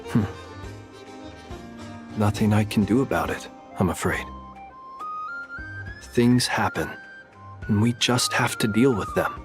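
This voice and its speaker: Fairly low voice, an unflappable lighthouse keeper who teases with dry wit. He speaks in a measured, unhurried way for a game cutscene, with faint music behind. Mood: teasing. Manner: wry, dry humor, unhurried. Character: calm, teasing male lighthouse keeper, unflappable. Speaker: game character.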